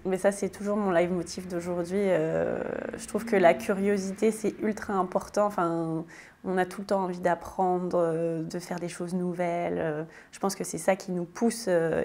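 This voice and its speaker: A woman's voice: fairly low pitch, flat delivery, moderate pace, a little mellow. Hesitant French woman in an interview. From France. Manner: hesitant, many fillers and restarts. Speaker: guest, tiny house builder. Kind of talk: casual interview answers.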